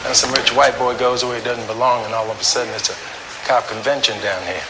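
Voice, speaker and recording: A man's voice: medium pitch, fairly even intonation, a little fast, natural voice. A man playing a wary, sardonic shelter administrator in his fifties, with clipped street talk, in a radio drama. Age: 50s. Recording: clear noise behind the voice.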